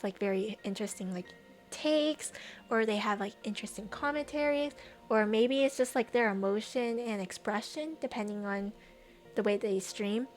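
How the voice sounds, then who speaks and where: A woman streamer chatting casually with viewers, rambling, trailing off and laughing often, her voice a little tired. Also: on the high side. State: voice getting tired. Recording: faint music behind.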